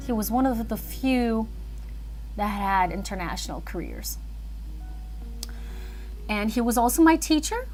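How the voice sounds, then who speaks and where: A woman chatting casually and thinking aloud as she hosts an animation livestream. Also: medium pitch. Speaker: animator and filmmaker streaming live.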